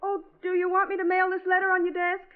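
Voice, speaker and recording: An actress playing a polite, solicitous young daughter in a genteel old radio drama style. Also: female voice, very high-pitched, monotone, fast talker. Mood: puzzled, brisk. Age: young adult. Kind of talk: 1940s radio acting. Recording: tinny vintage radio recording.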